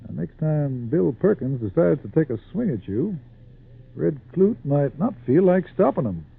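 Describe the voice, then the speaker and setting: A man playing a gruff, menacing backwoods man in 1940s radio acting, with a gravelly, husky voice. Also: a little high, big pitch swings, measured pace, heavily acted. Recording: scratchy, narrow-band 1940s radio recording.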